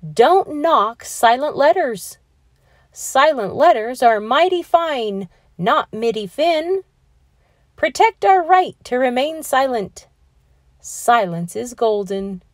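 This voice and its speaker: A woman's voice: sits high, animated, takes it slowly, dry recording, studio quality, pitched above the performer's own voice. A woman voicing plucky, aggrieved living alphabet letters from a storybook with dramatic, emphatic delivery. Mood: defiant.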